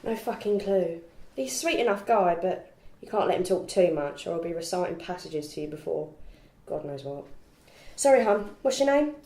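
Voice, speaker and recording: Woman acting a brash student flatmate, echoey room. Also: on the low side, moderate pace. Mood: chummy, brash. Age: young adult. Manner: bossy, chummy, blunt. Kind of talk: script table read. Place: indoor room at a group read-through. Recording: echoey room, background noise.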